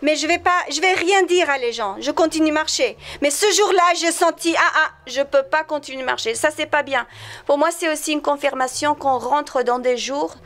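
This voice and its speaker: A woman with a strong high register and an American accent tells her testimony as a guest. English is her native language, and she often stops to search for words or restarts a phrase. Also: fairly bright tone. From United States. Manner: halting, searches for words in French. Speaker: guest, missionary evangelist. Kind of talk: personal testimony in conversation.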